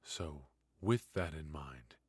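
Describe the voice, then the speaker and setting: A man playing a ruthless but protective mafia boss in an intimate noir roleplay, murmuring close to the mic with hesitant pauses and quiet menace. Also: rumbling low, husky, no echo, full character voice. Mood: apologetic, controlled. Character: brilliant, ruthless male mafia boss, possessive yet protective. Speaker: voice actor. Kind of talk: noir ASMR roleplay, intimate dramatic monologue. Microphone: close studio mic, dry.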